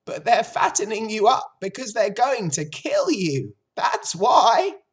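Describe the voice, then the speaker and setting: Lady doing a grumpy old sheep from a storybook, high voice, blunt and emphatic, knows it all. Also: very animated, takes it slowly, breathy edge, heavily acted. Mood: grimly blunt. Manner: blunt, emphatic, matter-of-fact. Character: blunt, snappish old female sheep, grimly knowing. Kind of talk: storybook character voice.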